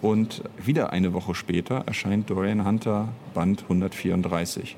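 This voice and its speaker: A low-pitched man explains things at length in a casual interview. He often breaks off and restarts his sentences. There is some noise behind him. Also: fairly even intonation, moderate pace, a little mellow. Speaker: interviewed audio drama author and director. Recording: noticeable background noise.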